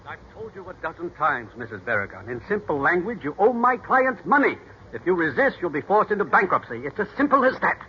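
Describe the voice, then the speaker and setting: A cold, exasperated lawyer in a 1940s radio drama. His voice is high and animated, formal and insistent. The old recording is dull, with faint noise. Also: brisk.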